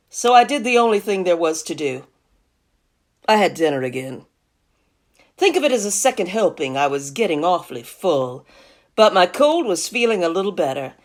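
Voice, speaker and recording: A woman's voice: mid-range voice, very animated, normal speed, close to an everyday voice. A woman voicing a folksy, indignant male wolf who tells his side of a storybook tale with mock innocence. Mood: self-excusing.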